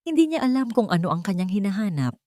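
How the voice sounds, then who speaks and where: A woman with a Filipino accent narrating a scripted romantic drama story in a dramatic, measured storytelling manner. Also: mid-range voice, sing-song, warm tone, no echo, studio quality.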